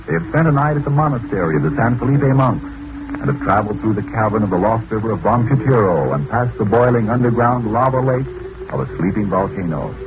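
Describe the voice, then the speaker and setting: A man's voice: on the low side, animated, brisk, lo-fi sound. A man narrating an old-time radio adventure serial in scripted storytelling style. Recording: noisy old radio recording.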